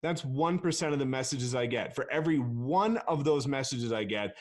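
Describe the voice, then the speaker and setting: A man's voice: medium pitch, animated, moderate pace. A man, a real estate agent guest, talking bluntly and emphatically in a casual interview and swearing freely.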